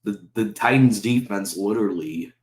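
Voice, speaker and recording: Low-voiced man with a sore throat, ranting. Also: normal speed, roomy sound. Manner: heated, profane, repetitive rhetorical questions. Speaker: sports channel host. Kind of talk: ranting fan commentary to camera.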